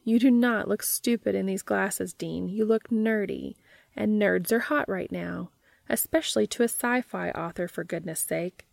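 A woman's voice: medium pitch, measured pace, creaky, no room sound. A woman narrator voicing a frank, reassuring female bookshop coworker in a romance audiobook, teasing and matter-of-fact. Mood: exasperated teasing.

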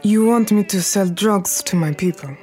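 A woman acts an elegant, defiant queen of the underworld in a period radio drama. She speaks at a middle pitch with formal, precise words and a commanding air. Faint music sits behind her. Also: moderate pace, warm tone, lightly acted. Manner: formal, precise diction, French interjections.

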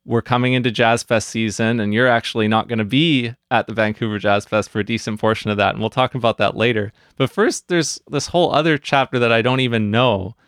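A man's voice: mid-range voice, fairly quick, hardly any pauses, a bit bright, dry recording, clean studio sound. Upbeat man hosting a podcast. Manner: upbeat, scripted introductions. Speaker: podcast host.

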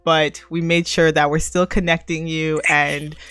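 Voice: medium pitch, flat delivery, measured pace, a bit bright, smooth, faint music behind. A podcast host interviewing guests in a casual, informal and slangy way.

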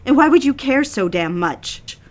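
Defiant young daughter, higher voice played by an actress. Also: female voice, lively intonation, normal speed. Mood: defiant, hurt. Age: young adult. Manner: pleading, defensive, emotional. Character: defiant, hurt young secretary daughter having an affair. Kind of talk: audio drama acting.

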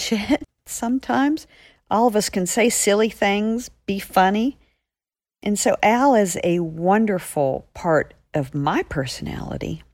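A woman's voice: mid-range voice, darkish tone, no room sound, clean studio sound. A woman author explaining her stories conversationally to young listeners. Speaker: author and podcast guest.

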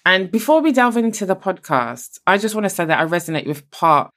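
A woman's voice: fairly low pitch, animated, a little fast, a bit bright. A woman from Barbados hosting a podcast, encouraging and enthusiastic toward her guest. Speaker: podcast host. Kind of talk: casual interview.

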